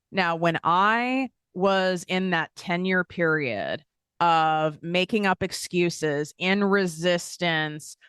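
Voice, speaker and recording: A woman's voice: fairly low pitch, fairly bright tone, smooth, no room sound, studio quality. A woman hosting a motivational business podcast, talking candidly and with energy, acting out doubtful inner voices and laughing at herself. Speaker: podcast host and business coach. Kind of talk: motivational solo podcast talk.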